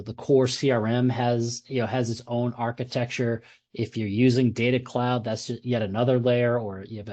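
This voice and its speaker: A man's voice: medium pitch, dark tone. Man with a Boston accent presenting. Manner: conversational, frequent fillers and restarts. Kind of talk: presentation talk at a user group meetup.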